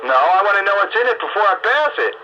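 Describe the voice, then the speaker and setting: A man's voice: high-pitched, big pitch swings, fast. A man in casual political discussion, emphatic and opinionated, repeating his points. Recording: lo-fi audio with faint noise.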